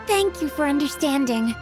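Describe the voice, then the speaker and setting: An actress playing a timid young restaurant employee in a video game, courteous and apologetic. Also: female voice, high voice.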